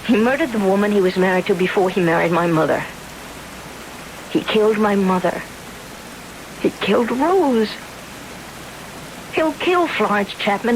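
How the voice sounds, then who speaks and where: A young woman, grieving and fiercely determined. Her delivery is intense and melodramatic, in old radio drama manner, at a middle pitch. A clear hiss covers the old broadcast recording.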